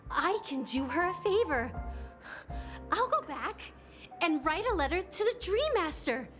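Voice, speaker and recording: A woman playing a timid, lovesick young woman in a video game, plaintive and halting, with music behind her. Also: very high voice, very animated, full character voice. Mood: earnest. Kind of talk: video game character acting.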